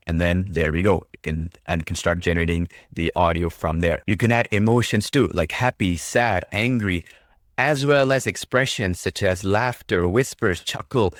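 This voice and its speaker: A man casually walking through a software demo, upbeat and breezy. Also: no room sound, clean studio sound. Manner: upbeat, breezy, says "boom" often. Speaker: product demo host. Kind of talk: casual screen-recorded walkthrough.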